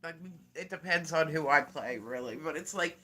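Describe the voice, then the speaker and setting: A man's voice: on the high side, normal speed, sharp tone. A man live streaming in casual, rambling chat, congested and nasal-sounding, full of filler words and frequent laughter.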